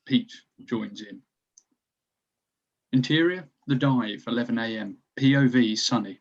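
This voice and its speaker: A man's voice: mid-range voice, unhurried, muffled recording. A man reading stage directions as scripted narration for a screenplay table read.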